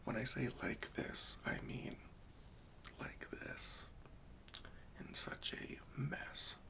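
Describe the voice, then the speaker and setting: A man playing a gentle, patient, faintly wry professional cleanup worker in a softly whispered, hesitant ASMR roleplay. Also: mid-range voice, huge pitch swings, drawn-out words, gravelly, full character voice. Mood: tactful, reassuring. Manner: soft, hesitant, soothing, politely tactful.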